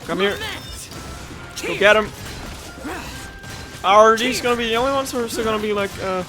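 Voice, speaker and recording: A woman's voice: fairly high pitch, normal speed, music in the background. A young woman doing casual, playful let's play commentary with a dramatic, emotive way of talking. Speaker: gaming streamer. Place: indoors over game audio.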